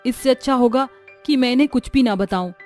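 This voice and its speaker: A woman's voice: high-pitched, brisk, a little mellow, soft background music, natural voice. A woman voicing an earnest young village wife who thinks aloud in a storybook cartoon. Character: young village wife, engineer, later a witch.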